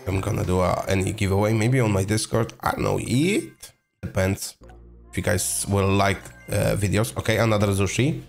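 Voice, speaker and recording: A young man with a voice hoarse from being sick, chatting casually through a game video and getting excited over rare drops. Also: lowish voice, moderate pace, soft background music. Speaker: gaming video host. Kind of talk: casual gameplay commentary.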